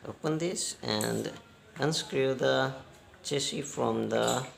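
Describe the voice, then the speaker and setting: Slow, gravelly man giving halting instructions. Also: medium pitch, a little hiss or hum. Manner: halting, step-by-step instructions in short phrases. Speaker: repair tutorial host. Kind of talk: unscripted hands-on repair demonstration.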